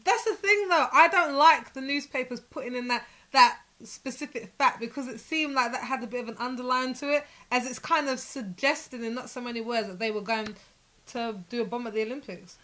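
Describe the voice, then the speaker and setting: A young woman co-hosting a relaxed talk show about faith and current events. Her voice sits a little high with a crisp, bright edge, and she teases and argues in a casual way. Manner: teasing, quick to laugh, argumentative. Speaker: co-host. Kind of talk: casual religious commentary chat.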